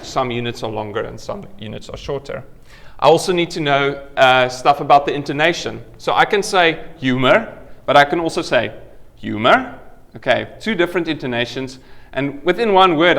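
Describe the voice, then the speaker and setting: A man's voice: mid-range voice, a bit bright. A middle-aged man with a South African accent, giving an academic conference talk in a conversational way, often checking in with "okay?" and restarting his phrases.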